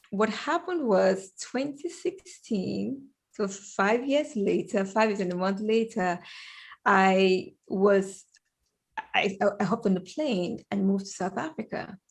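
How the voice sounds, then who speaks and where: A woman from Cameroon talks through her career as a guest, with a gravelly, fry-tinged voice at a middle pitch. She tells her story in a measured, reflective way. She is heard through a headset on a remote call. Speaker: guest, corporate lawyer. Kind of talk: conversational interview answers. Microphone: headphones with mic.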